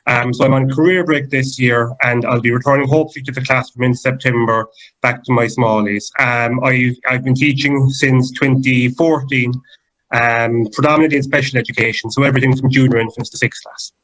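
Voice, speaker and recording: Young Cork man, relaxed and chatty, mid-range voice. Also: medium pitch, fairly quick, rough recording. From Cork, Ireland. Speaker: guest, primary school teacher. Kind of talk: self-introduction.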